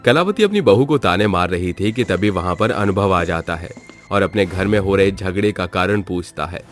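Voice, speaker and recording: A man's voice: deep voice, lively intonation, moderate pace, faint music behind. A man narrating a scripted moral story as its storyteller.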